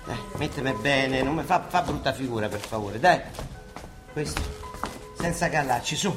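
An actor playing a bustling, grumbling but fond monsignor with a Roman accent, in a theatrical radio drama style. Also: male voice, mid-range voice, lively intonation, moderate pace, close to an everyday voice. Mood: fussing, impatient. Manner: colloquial, chivvying, muttering and exclaiming. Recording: echoey stage-like sound with music behind.

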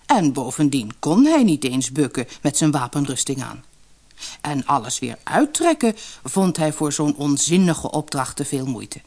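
Low-voiced woman reading fairy tales expressively. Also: sing-song, a little mellow. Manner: dramatic, measured storybook delivery. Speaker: storyteller reading a fairy tale audiobook. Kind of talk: expressive scripted fairy tale narration.

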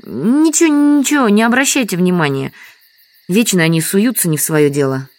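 A woman's voice: mid-range voice, animated, moderate pace, darkish tone, no echo, natural voice. An actress playing a polite, hesitant, evasive village woman in an audio drama. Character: evasive, deferential village woman in a sand pit.

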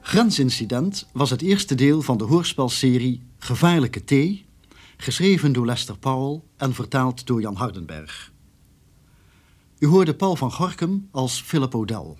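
A male announcer reads scripted broadcast credits. His voice is husky and medium in pitch, with strongly varied intonation.

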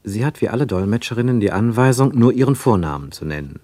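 Wry male storyteller, lowish voice, really animated melody, radio play narration, dry studio. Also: no echo. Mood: wry, observant. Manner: wry, pointed, measured phrasing. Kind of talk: literary radio drama narration.

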